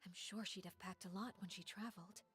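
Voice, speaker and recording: An actress voicing a refined, elegant woman with a dramatic air and a breathy voice in a video game. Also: mid-range voice, fairly even intonation, a little fast, a bit bright, natural voice. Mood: pensive.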